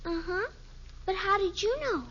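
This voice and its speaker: A woman playing an excitable, stubborn little girl in a 1950s radio sitcom, blunt and childish. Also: extremely high pitch, moderate pace. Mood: excited, eager. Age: child character. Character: eager, stubborn little girl, the kid sister. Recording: noisy, muffled old radio recording.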